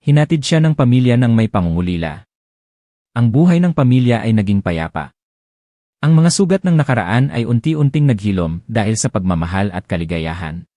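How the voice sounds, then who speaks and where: A man reads a romance story aloud in a gentle, expressive storytelling way, his low voice rising and falling with a lively lilt. The tone is dark and mellow, and the recording is dry and close with no room sound. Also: moderate pace, dry recording. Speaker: audiobook narrator. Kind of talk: scripted storybook narration.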